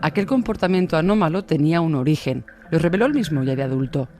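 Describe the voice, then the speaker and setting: A male narrator tells a scripted true-crime story. His voice is fairly high, and faint music runs underneath. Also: animated, fast, mellow.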